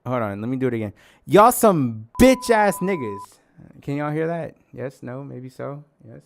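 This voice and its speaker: A male streamer talking to his chat in a loose, rambling way, loud and profane with lots of joking. His voice is gravelly with plenty of fry and sounds hoarse, as if he is losing it. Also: medium pitch, sing-song, normal speed, faint music behind. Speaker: livestreamer. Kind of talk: casual stream chat to viewers.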